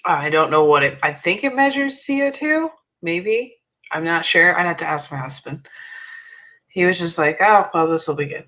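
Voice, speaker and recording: Woman, low pitch, bright sharp edge, voice going hoarse, chatty co-host. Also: lively intonation, normal speed. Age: adult student. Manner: chatty, self-deprecating, laughs easily. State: losing her voice. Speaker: podcast co-host. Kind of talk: casual chat.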